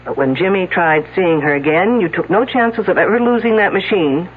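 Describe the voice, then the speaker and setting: A woman's voice: fairly low pitch, normal speed, a little hiss or hum, close to an everyday voice. An actress playing a wry, daring female police detective confronting suspects in a radio mystery. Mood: pressing, accusing. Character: daring, sardonic female police detective laying out her case. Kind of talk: 1970s radio mystery acting. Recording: band-limited, tinny old radio broadcast.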